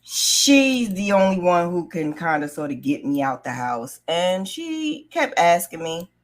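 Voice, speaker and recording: A woman's voice: lowish voice, very animated, a little slow. A middle-aged woman telling a personal story in a casual, candid and conversational way. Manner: candid, conversational, acts out remembered dialogue. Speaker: vlogger telling her own story.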